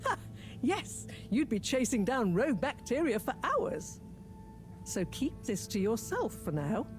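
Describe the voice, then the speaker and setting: A woman's voice: a little high, big pitch swings, unhurried, soft background music. An actress playing a proud, exacting female professor who speaks commandingly. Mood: haughty. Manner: haughty, commanding.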